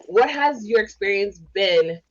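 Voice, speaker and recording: A woman from Canada hosts a podcast conversation. Her voice sits at a middle pitch for a woman. The recording is dull and lo-fi. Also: lively intonation, unhurried. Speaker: podcast host.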